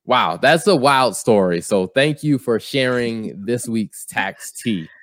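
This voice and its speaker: A man hosting a podcast in casual chat, explaining things in a measured, step-by-step way. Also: mid-range voice, lively intonation, no echo, studio quality. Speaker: podcast host.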